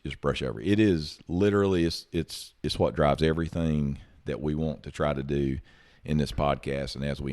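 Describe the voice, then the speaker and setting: A man's voice: rumbling low, darkish tone. A man in his forties hosting a podcast, talking casually and enthusiastically, often repeating words as he goes. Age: 40s. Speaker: podcast host.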